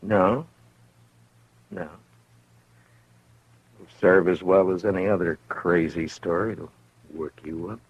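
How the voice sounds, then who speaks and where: Stern western town marshal: low American voice, measured and dry, vintage radio drama on dull tape. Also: male voice, steady tone, husky, exaggerated voice. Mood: grim, defiant. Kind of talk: 1950s old time radio western acting.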